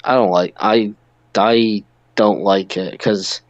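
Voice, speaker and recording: Dull-sounding, lower-pitched British man. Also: fairly even intonation, takes it slowly, no room sound. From United Kingdom. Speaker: podcast co-host. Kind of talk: casual wrestling podcast chat.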